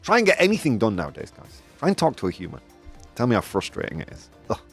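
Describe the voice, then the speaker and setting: A man hosting a casual opinion show, talking in an animated, rambling way with lots of fillers and self-corrections. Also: fairly low pitch, fast talker, vocal fry, husky, faint music behind. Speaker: podcast host. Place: Spain.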